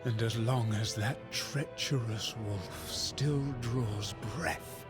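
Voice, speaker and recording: A man's voice: medium pitch, takes it slowly, music in the background, lightly acted. A man voicing a stern, commanding god-king in a video game cutscene, grave and demanding. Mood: stern, reproachful.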